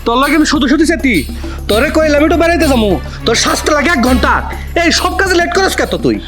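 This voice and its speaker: An actor plays a bullying, hot-tempered husband in a Bangladeshi family drama. The voice is high and fires off threats at a quick clip. Music runs behind it. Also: lively intonation. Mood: angry, threatening. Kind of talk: Bengali family drama acting.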